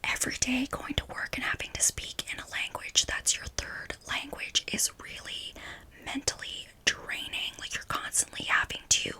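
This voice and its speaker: A woman's voice: moderate pace, pushed and bright. A woman in her thirties from Canada whispering a loose, rambling ASMR chat full of "like" and "um". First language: not French; French is her third language. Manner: rambling, filler-heavy "like" and "um". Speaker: ASMR creator. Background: ASMR trigger sounds.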